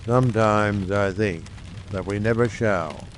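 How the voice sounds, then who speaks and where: A man playing a grave, haunted husband with a dark secret in an audio drama, foreboding in manner. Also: lowish voice, a little slow, slight background noise, close to an everyday voice.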